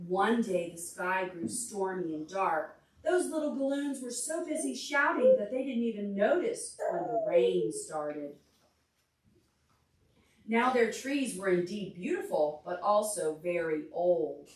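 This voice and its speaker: Animated, slow-paced woman reading to preschoolers. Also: mid-range voice, recorded from a distance. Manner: expressive, simple explanatory way of talking to small children. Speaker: children's librarian leading a preschool storytime. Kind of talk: reading a picture book aloud to young children, pausing to ask questions. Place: large carpeted indoor library meeting room. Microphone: distant camera microphone. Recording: roomy, picked up from across the room.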